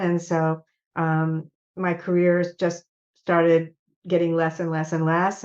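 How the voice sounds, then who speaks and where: An older woman, a guest on a video call, animatedly telling stories and acting out the lines of people in them. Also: on the low side. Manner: animated storyteller, acts out quotes. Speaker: guest, clothing entrepreneur. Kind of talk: casual storytelling. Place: home office, indoors. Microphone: video call webcam. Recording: dull lo-fi video call audio.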